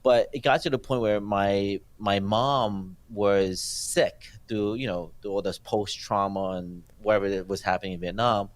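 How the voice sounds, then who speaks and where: A man in his forties, born in Thailand and raised in New Jersey, telling his life story as a guest in a casual interview, halting with many fillers and restarts. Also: lowish voice, fairly even intonation, no echo. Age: 40s. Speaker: filmmaker guest.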